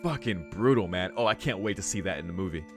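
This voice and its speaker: A man reading a visual novel's story aloud for a let's play, breaking into casual, excitable reactions with some swearing. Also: low-pitched, fast. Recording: music behind the voice.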